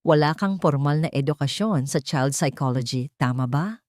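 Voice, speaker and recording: A woman's voice: low voice, moderate pace, darkish tone, no echo, studio quality, lightly acted. A woman narrator voicing a merciless courtroom lawyer in an audio drama, belittling and pointed in questioning. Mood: condescending. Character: savage, condescending courtroom lawyer.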